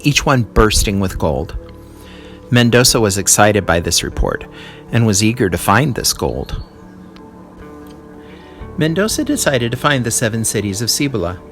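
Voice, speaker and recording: A man reading a history text aloud as a teacher, in a deliberate storytelling delivery with short explanations. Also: medium pitch.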